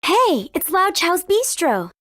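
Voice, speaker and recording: A woman's voice: very high-pitched, very animated, slow, fairly bright tone, no room sound, clean studio sound, full character voice. A woman voicing a spirited teenage girl guide in a video game, confident and explanatory. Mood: bright, determined. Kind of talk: English game dub, tutorial dialogue.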